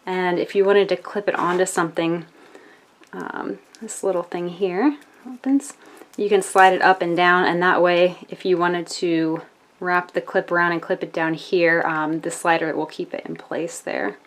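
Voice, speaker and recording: A woman's voice: on the low side, fairly even intonation, moderate pace. An American woman narrating a casual product demonstration as a reviewer, explaining step by step in an informal, hesitant way.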